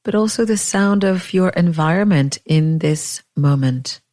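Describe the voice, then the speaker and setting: A woman leading a guided meditation in a gentle, unhurried way, leaving long pauses between phrases. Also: on the low side.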